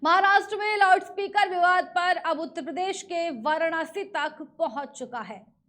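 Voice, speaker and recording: High-pitched Indian woman reading the news. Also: normal speed, fairly bright tone. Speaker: news presenter. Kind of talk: scripted news narration.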